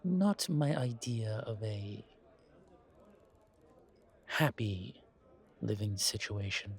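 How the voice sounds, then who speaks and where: A man playing a genteel, world-weary vampire in an audio roleplay, speaking in a courtly, deliberate way with pauses and sighs. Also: on the low side, animated, vocal fry, full character voice. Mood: wry distaste. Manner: courtly, deliberate, pausing, sighing between phrases. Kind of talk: slow audio roleplay acting, addressed to listener.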